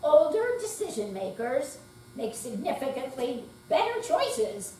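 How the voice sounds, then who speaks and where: An older woman presents a scripted reading in a high voice. The room is echoey and the microphone is at a distance, with a light hum. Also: very animated, measured pace, darkish tone. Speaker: readers theater performer. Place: hall at a senior center.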